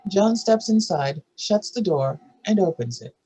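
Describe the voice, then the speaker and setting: A woman with a husky voice reading screenplay stage directions as a narrator. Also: mid-range voice, expressive, unhurried, warm tone, rough recording.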